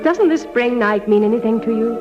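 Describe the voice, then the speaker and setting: An actress playing a romantic, melodramatic young sweetheart in a 1940s radio parody, on a lo-fi recording. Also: female voice, a little high, expressive, a little fast, music in the background, close to an everyday voice. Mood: yearning. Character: yearning young sweetheart of a poor man.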